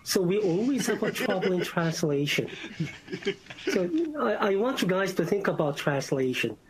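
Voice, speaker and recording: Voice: medium pitch, animated, normal speed, crowd noise, low-quality recording. A board member with a Korean accent, a native Korean speaker, arguing earnestly over a video call and often saying "you know". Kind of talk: formal remote meeting discussion.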